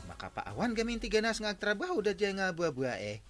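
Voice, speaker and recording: Masiglang kapitan ng barangay, mahilig manermon. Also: male voice, sits high, quick pace, soft background music. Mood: exasperated scolding. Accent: Ilocano. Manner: animated, scolding, exclaiming. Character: stern, exasperated male village captain scolding his councilor. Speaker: radio drama actor. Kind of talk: broad Ilocano radio comedy drama.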